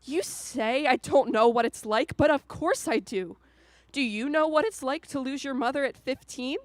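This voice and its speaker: High-voiced, hurt young daughter played by an actress. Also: female voice, moderate pace, no echo, close to an everyday voice. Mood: angry, accusing. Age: young adult. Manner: emotional, pleading, confrontational. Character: hurt, determined young adult daughter confronting her father. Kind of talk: radio drama acting.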